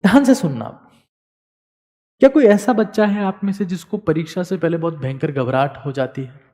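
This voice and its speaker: A man giving a motivational study lecture as a teacher, emphatic and repeating key words, swinging between loud and soft. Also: a little high, normal speed, in a large hall.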